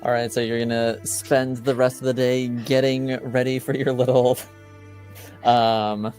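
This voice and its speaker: A man narrating a tabletop game as game master in a casual, improvised, halting way. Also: mid-range voice, little pitch movement, darkish tone, soft background music.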